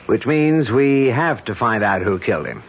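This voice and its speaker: Radio-play detective: a breezy, cocky cop, dull old recording. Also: male voice, mid-range voice, very animated, normal speed, slightly rough. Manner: jokey, self-assured. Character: cocky male police detective. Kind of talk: 1970s radio drama acting.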